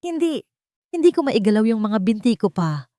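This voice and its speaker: A woman's voice: a little high, sing-song, moderate pace, mellow, no room sound, clean studio sound, voice pushed up. Woman voicing an earnest, emotional young man in love. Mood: panicked. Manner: trembling, pleading.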